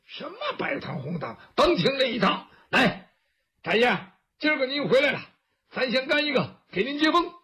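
Storyteller acting out a husky, blustering hot-headed guardsman. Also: sits high, very animated, normal speed, sharp tone, heavily acted. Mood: boastful, mocking. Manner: blustering, rambling mockery. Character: brash boastful hot-headed male guardsman, loud and mocking.

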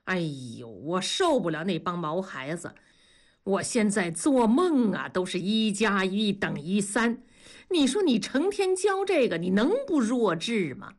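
A woman's voice: mid-range voice, sing-song, normal speed, husky. A woman voicing a grumpy, stubborn retired husband in an audio story, grumbling in colloquial northern Chinese speech. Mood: exasperated.

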